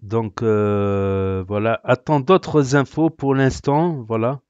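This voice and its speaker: A man's voice: mid-range voice, fairly even intonation, takes it slowly, a little mellow, clear, resonant tone, dry recording, highs cut off. A man hosting a reaction video, sarcastic and rambling, with many hesitations. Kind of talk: casual reaction commentary.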